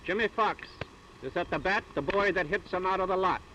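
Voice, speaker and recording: Male American coach, high voice, halting informal instruction, dull vintage newsreel recording. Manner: halting, plain-spoken. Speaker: baseball club figure, coach. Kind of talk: informal pep talk and demonstration for camera. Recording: dull, noisy 1930s newsreel sound.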